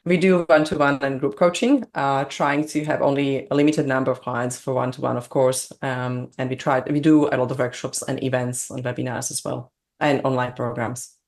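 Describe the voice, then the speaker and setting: A woman from Slovakia with a Slovak accent, speaking English as a second language as a guest on an interview, bubbly and quick to laugh. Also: a bass voice, fairly even intonation, a little mellow. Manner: bubbly, laughs often, non-native grammar. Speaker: business coach, guest. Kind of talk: casual interview chat.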